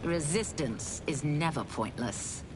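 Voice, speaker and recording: A woman's voice: low voice, expressive, slow, background noise. A woman playing a stern, vengeful warrior in a video game, grave and resolute, in clipped phrases. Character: stern vengeful female warrior turned against her former master. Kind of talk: dramatic video game acting.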